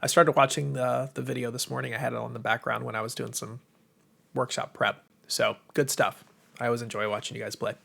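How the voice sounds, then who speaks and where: A heavily creaky, gravelly American man hosts a casual livestream. His voice sounds worn and a little hoarse, as if it is giving out. He sits at a medium pitch and talks in an informal, rambling, enthusiastic way to his viewers. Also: little pitch movement, a little fast, a bit bright. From United States. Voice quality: tired, strained voice. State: voice going, slightly hoarse. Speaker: livestream host, game publisher.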